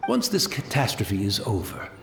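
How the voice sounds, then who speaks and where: A gentle old retainer in a video game, courteous and wistful as he rambles in formal phrases. His pitch moves expressively. The voice rings in a roomy echo over faint music. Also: huge pitch swings, moderate pace, gravelly, exaggerated voice. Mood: wistful, resigned. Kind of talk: video game character dialogue.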